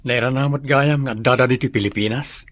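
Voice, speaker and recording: An actor with a Filipino accent playing a courteous, well-off gentleman in a radio drama, speaking with assurance. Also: mid-range voice, fairly even intonation, fairly quick, no room sound, natural voice. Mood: satisfied, assured.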